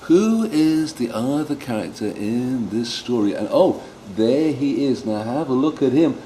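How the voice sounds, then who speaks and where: A man giving an instructional demonstration as a storyteller, speaking expressively and pausing for emphasis, with some background noise. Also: mid-range voice, animated, dark tone, rough recording. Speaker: storyteller teaching read-aloud technique.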